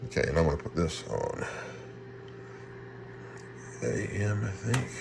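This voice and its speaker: A very low-voiced man talks through a casual equipment demonstration. He speaks haltingly, thinking aloud as he goes, with clear static and hiss behind him. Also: expressive. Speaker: radio hobbyist demonstrating equipment. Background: shortwave radio noise.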